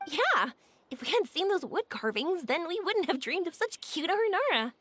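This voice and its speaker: Free-spirited young woman for a game: high, lively pitch swings, bubbly and enthusiastic. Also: normal speed, a little hiss or hum, full character voice. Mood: delighted. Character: cheerful, free-spirited young woman who makes fireworks. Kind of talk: video game voice acting.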